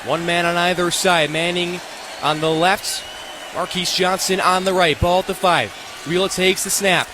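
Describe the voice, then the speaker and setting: A young American man calling live football on the radio as play-by-play announcer, in punchy, clipped, excitable phrases. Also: high-pitched, normal speed. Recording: live stadium ambience noise.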